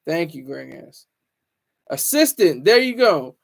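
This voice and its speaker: Man, high voice, livestream host, teasing, rambling, stammering. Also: big pitch swings, a little slow, vocal fry. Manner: rambling, teasing and joking with viewers; stammers and restarts often. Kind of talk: casual livestream chat.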